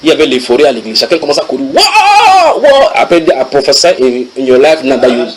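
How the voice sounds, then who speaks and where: A man preaching to a church congregation, his voice somewhat high for a man. He reads English passages aloud, translates them on the spot, and teases his listeners as he goes. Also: fairly quick, a little hiss or hum, low-quality recording. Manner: animated, switches into English readings and translates, banters with congregation. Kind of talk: sermon teaching.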